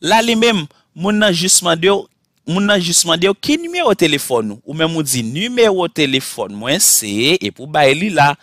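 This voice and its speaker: A man teaching a language class, saying model phrases slowly and clearly for learners to repeat, then switching to quick explanations. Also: a little high, very animated, fairly bright tone, no room sound, clean studio sound. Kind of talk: repeat-after-me language drill.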